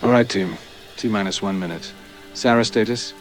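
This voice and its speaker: A man's voice: lowish voice, normal speed, natural voice. A man playing an authoritative research director in an audio drama, giving terse, solemn commands. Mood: commanding. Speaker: project director. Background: music.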